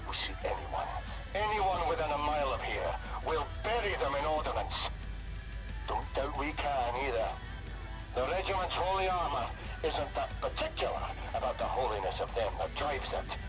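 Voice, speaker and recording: A defiant Scottish renegade officer. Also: male voice, fairly high pitch, big pitch swings, moderate pace, rough recording. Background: music.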